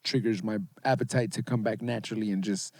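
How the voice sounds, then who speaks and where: A man chatting casually as a podcast co-host, informal and slangy, quick to react to his guest. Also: lowish voice, fairly even intonation, a little mellow, no room sound, clean studio sound. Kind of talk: casual chat.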